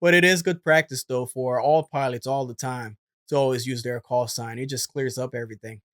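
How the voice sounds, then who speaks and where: A man hosts with an informal, conversational way of explaining. His voice sits at a middle pitch. The sound is dry and close, with very little room around it. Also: steady tone, fairly quick, fairly bright tone, no echo. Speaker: host, former military air traffic controller. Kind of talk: casual explanatory commentary.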